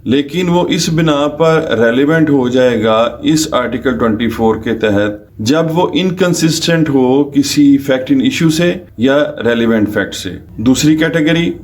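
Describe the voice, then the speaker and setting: Pakistani guy explaining law step by step, lecture style, mid pitched voice. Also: expressive, a little slow, few pauses, slight background noise. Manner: methodical, explains step by step with restatements. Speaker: lawyer giving a law lecture. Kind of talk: explanatory lecture mixing in English legal terms.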